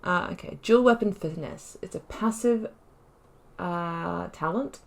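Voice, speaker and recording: Lowish-voiced woman gamer thinking aloud. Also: a little slow, creaky. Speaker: gaming YouTuber playing through a role-playing game. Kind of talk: casual let's play commentary, thinking aloud.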